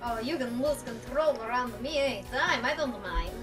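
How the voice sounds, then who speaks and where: A woman's voice: fairly high pitch, expressive, moderate pace, recorded from a distance, over music. A young woman bantering casually and reading game dialogue aloud in a joking, irreverent way. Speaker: let's play co-host.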